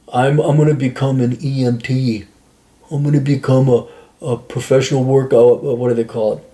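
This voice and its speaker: A man's voice: mid-range voice, darkish tone. A man hosting a podcast, giving blunt, profane career advice in a rambling, casual monologue full of restarts.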